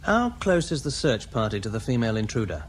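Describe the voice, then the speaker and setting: Scheming, animated male officer. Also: lowish voice, fast talker, slight background noise. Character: scheming, wheedling male spaceship officer out for favour. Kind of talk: 1980s radio science fiction acting.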